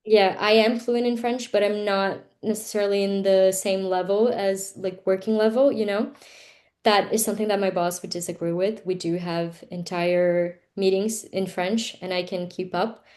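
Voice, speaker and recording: A woman's voice: medium pitch, steady tone, moderate pace, darkish tone. A Brazilian woman in her twenties whose first language is Portuguese, speaking English in a casual interview, frank and reflective, with many "like"s and "you know"s. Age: 20s. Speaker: interview guest.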